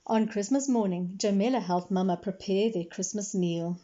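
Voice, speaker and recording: A woman's voice: medium pitch, normal speed. Dull-sounding recording of a woman reading a storybook. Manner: animated, acts out dialogue. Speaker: storybook reader, librarian. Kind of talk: expressive children's read-aloud.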